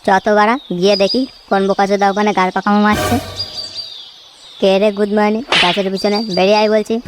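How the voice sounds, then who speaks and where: A woman's voice: mid-range voice, flat delivery, fast talker, background noise, lightly acted. A woman voicing foul-mouthed, bickering young village lads in a comedy cartoon, crude and slangy. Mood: irritated, crude. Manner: crude, slangy, cursing constantly.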